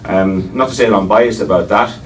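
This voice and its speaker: A man's voice: on the low side, fairly quick. An Irish man chairing a panel, introducing speakers with wry asides and many hesitations. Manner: wry, digressive, with frequent hesitations. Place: lecture room before an audience. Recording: distant mic, faint noise.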